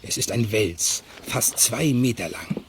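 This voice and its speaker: A man's voice: medium pitch, animated, takes it slowly, noisy background. A man narrating a scripted children's radio play as its storyteller.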